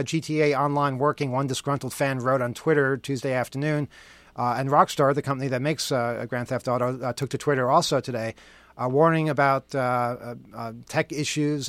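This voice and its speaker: A man's voice: medium pitch, fairly even intonation, normal speed, fairly bright tone, no echo. A man hosting a radio show, bantering casually live on air in a wry, joking, sardonic manner. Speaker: radio host.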